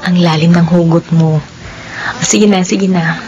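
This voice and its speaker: A woman's voice: fairly low pitch, steady tone, measured pace, dull audio, natural voice. An actress playing a conflicted young woman in love who resists, emotional and reproving, in a romantic radio drama. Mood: teasing, hesitant. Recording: loud music under the voice.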